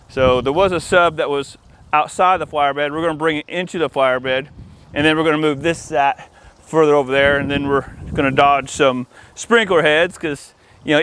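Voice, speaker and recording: A man hosting a casual hands-on walkthrough outdoors, explaining things informally as he goes. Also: a bit bright. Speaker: host of an installer's video channel. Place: outdoors in a yard. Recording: outdoor noise, ambient rumble.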